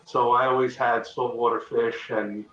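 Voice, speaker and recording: A man speaks as a guest over a video call, his bright voice set back from the mic in a roomy space. The audio is muffled and dull. He rambles and restarts his sentences as he talks. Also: medium pitch, level tone, normal speed, far from the mic. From Texas, north of Dallas. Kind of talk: casual interview talk.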